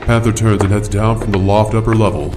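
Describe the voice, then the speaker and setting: Low-voiced narrator of a radio drama. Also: male voice, steady tone, a little fast, distant mic, over music. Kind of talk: scripted narration.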